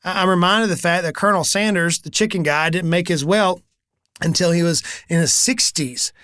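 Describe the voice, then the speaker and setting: A man's voice: sits high, a little fast, bright, forceful tone, clean tone, no room sound. A man in his forties hosting a business coaching talk, telling stories energetically and acting out the conversations he quotes. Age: 40s. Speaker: business coach and channel host. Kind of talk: animated solo talk to camera.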